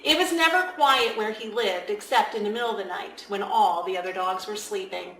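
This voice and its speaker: A woman narrates a children's story in read-aloud style. Her voice sits at a middle pitch and she shapes phrases deliberately and expressively for young listeners. The room adds a clear echo around her. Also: a bit bright, slight background noise. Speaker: children's author reading aloud. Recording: echoey room.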